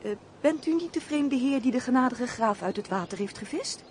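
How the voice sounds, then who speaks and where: A pert female castle servant for a radio play. Her delivery is highly animated and theatrical, chatty and easily affronted, with a sharp tongue. Faint noise and birdsong sit behind her. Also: a little fast. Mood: polite, insistent. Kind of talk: Dutch radio play acting.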